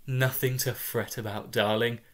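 A man's voice: medium pitch, fairly even intonation, a little slow, natural voice. A man voicing a doting, middle-aged mother, cooing cheerfully and consolingly as part of a told story. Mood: cooing, cheery.

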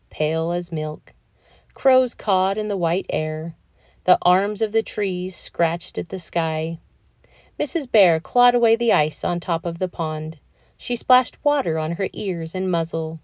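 A woman reading a children's picture book aloud in an expressive, deliberate storytelling manner. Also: fairly low pitch, dry recording, studio quality. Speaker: storybook reader.

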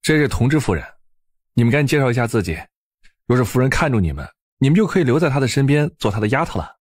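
A man playing an eager, breezy young gentleman with a brisk, commanding manner in a historical audiobook drama. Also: medium pitch, sing-song, no echo, clean studio sound. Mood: brisk, instructing.

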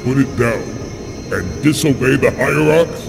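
A zealous alien ape warlord in a video game, threatening and domineering. He snarls slowly with a gravelly creak, over loud music. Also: medium pitch, lively intonation. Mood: scornful command. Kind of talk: video game cutscene acting.

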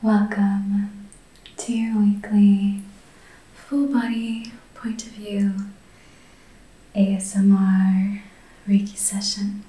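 A woman speaks in a hushed, breathy ASMR style, guiding gently and very slowly. Her tone is extremely mellow and soothing, with little rise or fall. The sound has a noticeable roomy echo. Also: medium pitch, little pitch movement. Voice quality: breathy, whispery, soft-spoken. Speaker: ASMR Reiki practitioner and host. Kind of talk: soft-spoken ASMR guided healing session.

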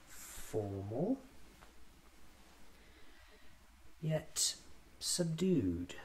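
Man acting as a quirky alien time traveller, ASMR roleplay, extremely slow deliberate speech, swooping intonation, soft musing to himself. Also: mid-range voice, vocal fry, a little hiss or hum, heavily acted. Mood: thoughtful. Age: 30s. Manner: slow, deliberate, hesitant self-musing in short phrases.

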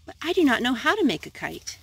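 An eager little skunk from a children's storybook, read by a woman, full of questions. The voice lifts high and swings about in an animated, questioning way. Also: quick pace, vocal fry, slight background noise, exaggerated voice. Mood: pleased, curious.

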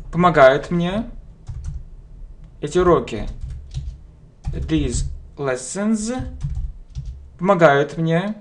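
A man teaches English at a very slow, deliberate pace, breaking each phrase into single words and repeating them. He speaks into a webcam at a home desk, and keyboard typing and mouse clicks are heard behind him. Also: a little high. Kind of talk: slow dictation-style language lesson. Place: home room, indoors.

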